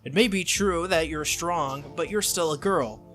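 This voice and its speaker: A man voicing a stubborn, earnest young male hero in a visual novel, protective and halting in his speech. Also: fairly high pitch, a bit bright, faint music behind.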